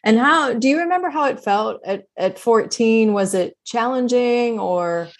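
A woman's voice: fairly high pitch, a little slow. A woman hosting a podcast interview, introducing her guest and asking questions in an earnest, conversational way. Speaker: podcast host.

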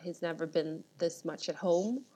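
Woman, guest founder, lowish voice, rambling casual delivery. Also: normal speed, dark tone. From Sweden. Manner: chatty, rambling with many restarts. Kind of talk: casual interview chat.

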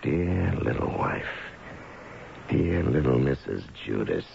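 An actor playing a bitter, vengeful convict husband in a radio drama, taunting with drawn-out, sadistic menace in a gravelly voice. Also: male voice, big pitch swings, darkish tone, exaggerated voice. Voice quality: gravelly, very deep. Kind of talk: old-time radio drama acting. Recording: dull, faintly noisy radio drama recording.

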